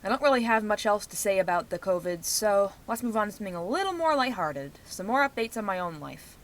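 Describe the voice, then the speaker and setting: A young woman talks through a personal vlog in a bright, forceful voice at an average pitch. She is outspoken and emphatic, leaning hard on her points as she rambles from one to the next. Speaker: vlogger.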